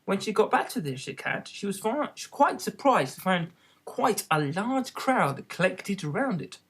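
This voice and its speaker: An audiobook reader tells a children's story expressively. The pitch moves up and down in a very lively way. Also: normal speed, husky.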